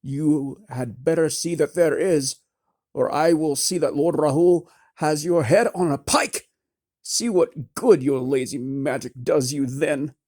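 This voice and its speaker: A narrator voicing a shrill, demanding, self-important noblewoman who screeches her complaints. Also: big pitch swings, takes it slowly, darkish tone, slightly rough, exaggerated voice. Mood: shrill imperious. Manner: screeching, imperious.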